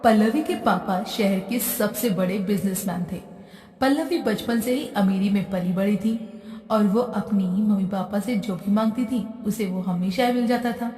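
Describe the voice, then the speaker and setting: A woman's voice: mid-range voice, a little slow, mellow. A woman reading scripted narration for a moral story as the narrator. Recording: echoey hall-like reverb, distant.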